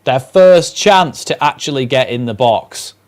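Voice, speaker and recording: A man's voice: medium pitch, animated, measured pace. A young man with a northern English accent, calling a live football match on a watchalong stream and chatting with viewers. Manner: play-by-play calls mixed with reading out viewer comments. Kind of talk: live match commentary and chat.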